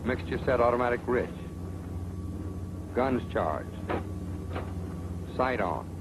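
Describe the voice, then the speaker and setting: A man's voice: medium pitch, expressive, takes it slowly. A man narrating a military training film with a stern, scolding, instructive manner. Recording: old film soundtrack with hiss.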